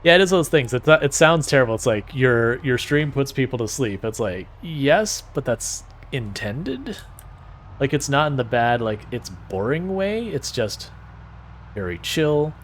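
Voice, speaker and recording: A man with a soothing voice chatting casually with his stream viewers as a game streamer, relaxed and rambling, often restarting his sentences. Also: mid-range voice, a little slow, slight background noise.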